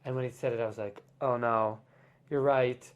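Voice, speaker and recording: A man's voice: mid-range voice, steady tone, moderate pace, smooth, dull audio. Brief: male guest, casual chat, thoughtful, loose and filler-heavy delivery. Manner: reflective, explains with many "like" fillers. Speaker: podcast guest, artist. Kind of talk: casual conversation.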